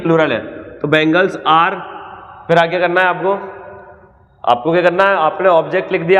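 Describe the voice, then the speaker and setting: A man's voice: sits high, a bit bright. An Indian man teaching grammar in a classroom style, asking questions and answering them himself in a didactic way. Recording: echoey room, dull lo-fi sound.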